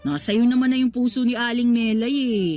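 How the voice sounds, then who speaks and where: A woman's voice: a little high, moderate pace, a little mellow, soft background music, lightly acted. A woman playing a teasing, affectionate grown-up daughter in a radio drama, with playful, exclamatory banter. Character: teasing, affectionate grown child of a smitten father.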